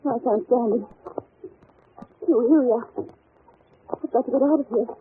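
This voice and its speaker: A woman's voice: fairly high pitch, unhurried, phone-quality sound, close to an everyday voice. An actress playing a polite, anxious young woman working in a frightening household, in old-time radio drama style, timid and breathless. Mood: uneasy, frightened. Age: young adult. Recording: thin, noisy vintage radio recording.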